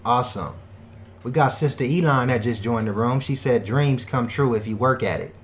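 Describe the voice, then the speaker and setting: Male radio show host, high-energy slangy patter, mid-pitched voice, hypes up guests. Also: normal speed, a little hiss or hum. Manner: energetic slangy hype talk with catchphrases.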